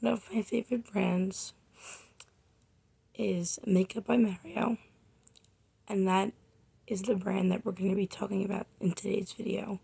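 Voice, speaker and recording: Young female beauty vlogger, sick and hoarse; heavy fry, very husky, rambling chatty delivery. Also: medium pitch, fairly even intonation, a little slow, long pauses, dark tone. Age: 20s. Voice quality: raspy. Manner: rambling, chatty, addresses viewers as guys. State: hoarse from a cold. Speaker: makeup artist and beauty vlogger. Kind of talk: casual chatty makeup tutorial. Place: home, under a makeup light.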